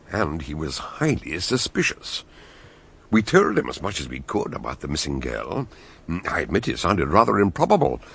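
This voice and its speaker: An actor playing a measured, understated doctor who narrates a radio mystery and joins the scenes. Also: male voice, low voice, gravelly, husky, heavily acted. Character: male narrator, a calm doctor detective. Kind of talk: radio drama narration and dialogue.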